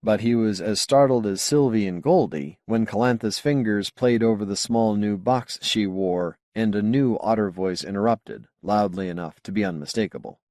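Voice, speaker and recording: Low-voiced man narrating an audiobook, dry sound. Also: moderate pace, few pauses, warm tone, dry recording. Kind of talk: scripted narration of a science fiction novel.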